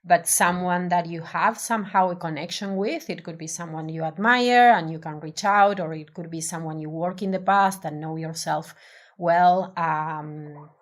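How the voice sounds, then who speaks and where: Woman, Spanish accent, low voice, hesitant restarts, interview guest. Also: normal speed. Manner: hesitant, many restarts and fillers, thoughtful. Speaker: design professional, podcast guest. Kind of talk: casual interview conversation.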